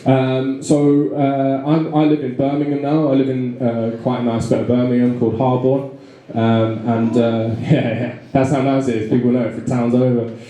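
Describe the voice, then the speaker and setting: A young man with a London accent works a pub crowd, rambling through his stand-up with fillers and false starts. His voice is mellow and low in brightness. The room is very echoey. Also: little pitch movement, normal speed. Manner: rambling, self-deprecating, many fillers and restarts. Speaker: stand-up comedian. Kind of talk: stand-up comedy set. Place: small pub comedy room with a live audience. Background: audience laughter.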